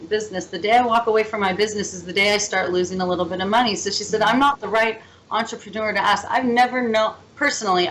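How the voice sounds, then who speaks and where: A woman's voice: medium pitch, a bit bright, a little hiss or hum, low-quality recording. A woman being interviewed as a guest, talking in an upbeat, talkative way and telling stories. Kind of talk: casual interview chat.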